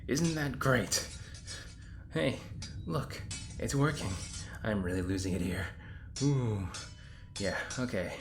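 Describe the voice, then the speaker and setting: Man acting low-voiced sarcastic hero, echoey and noisy. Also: slow pace, long pauses, over music. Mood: frantic, indignant. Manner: sarcastic, rambling, modern casual phrasing. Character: exasperated, sarcastic young hero stuck in time loops. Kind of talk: audio roleplay acting, conversational monologue. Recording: roomy echo, clear background noise. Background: designed ambience.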